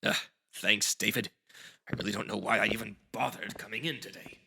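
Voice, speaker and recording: An actor playing a put-upon, deflated announcer in an old-time radio comedy. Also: male voice, on the low side, fairly even intonation, a little fast, gravelly, a crowd in the background. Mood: dejected.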